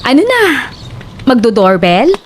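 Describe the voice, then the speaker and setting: Woman playing brash teasing young gal in horror audio drama, very high voice all over the place, slight noise. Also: measured pace, heavily acted. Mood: mocking. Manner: brash, mocking, excitable. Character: bold, teasing young woman, pushy best friend.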